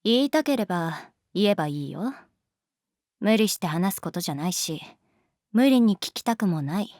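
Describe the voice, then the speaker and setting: A woman's voice: lowish voice, animated, moderate pace, a little mellow. An actress playing an easygoing, teasing woman teacher in a Japanese voice drama, speaking casually and bluntly. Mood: calm, caring. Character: easygoing, teasing adult woman teacher who loves beer.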